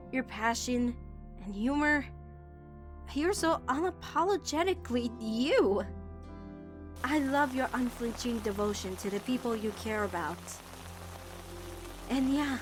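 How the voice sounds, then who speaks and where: A woman voicing an affectionate, gently teasing teenage girl in a cartoon comic dub, direct and reassuring, with music underneath. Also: on the high side, takes it slowly, pauses often. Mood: fond, teasing. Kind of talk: animated-series comic dub acting.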